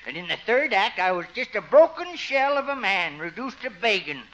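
A jolly fussy old-time radio vet, high swoopy voice, kinda stammery, dull vintage sound. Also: male voice, big pitch swings, normal speed, pushed and bright, full character voice. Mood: chatty, eager. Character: folksy, doting small-town veterinarian, fussy and jolly. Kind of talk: 1950s radio comedy acting. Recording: muffled vintage radio recording.

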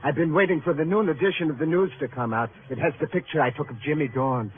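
An actor playing a vain, theatrical news photographer who fancies himself an artist, speaking in florid, formal phrases in a radio drama. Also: male voice, fairly high pitch, quick pace, phone-quality sound, close to an everyday voice. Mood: self-important, grave. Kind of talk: 1950s radio drama acting. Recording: noisy, thin vintage radio recording.

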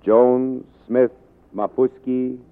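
An actor playing a blunt, shrewd studio boss, gruff, musing and decisive, in 1940s radio style. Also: male voice, medium pitch, little pitch movement, very slow. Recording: humming, narrow-band 1940s radio recording.

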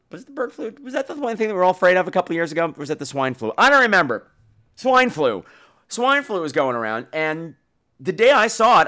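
A man hosting a podcast, giving casual, opinionated and profane film commentary in an animated way. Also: high-pitched. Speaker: podcast host.

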